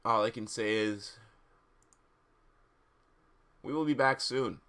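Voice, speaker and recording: A man's voice: on the low side, slow, a bit bright, clear, resonant tone. A man hosting a livestream, switching between casual chat and a theatrical, dramatic reading of fan fiction. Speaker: streamer and host reading fan fiction aloud.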